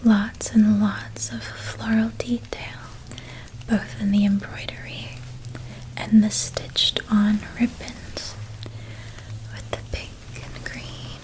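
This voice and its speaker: A nurturing witch, played by a woman, speaks entirely in a soft, breathy whisper. She takes her time, doting and unhurried, lingering on each small detail. It is intimate ASMR roleplay, gentle from start to end. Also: medium pitch, little pitch movement, natural voice, low-quality recording. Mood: gentle, caring. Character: gentle, nurturing witch helping a young student pack.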